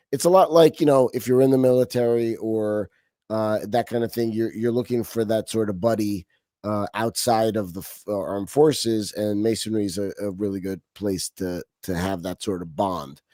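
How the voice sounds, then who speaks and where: A man's voice: lowish voice, a little mellow, dry recording, studio quality. A middle-aged man from Romania, a podcast guest talking casually and animatedly, with frequent restarts and fillers. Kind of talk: casual interview talk.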